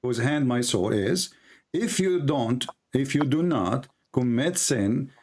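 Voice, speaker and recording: A man's voice: mid-range voice, expressive, slow pace, darkish tone. A man whose first language is Arabic, hosting an argumentative live debate in non-native English, insistently repeating his phrases. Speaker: host, religious debater.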